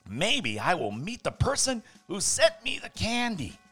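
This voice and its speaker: A man's voice: high-pitched, wildly swooping pitch, unhurried, pushed and bright, husky, soft background music, pitched above the performer's own voice, exaggerated voice. A man voicing a shy, lonely storybook man who speaks with earnest wonder about a secret admirer.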